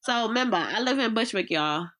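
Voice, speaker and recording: Stuffy-nosed New woman, lively. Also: on the high side, fairly quick. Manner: laughing, slangy, animated. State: stuffy nose. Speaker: podcast co-host. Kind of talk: casual chat.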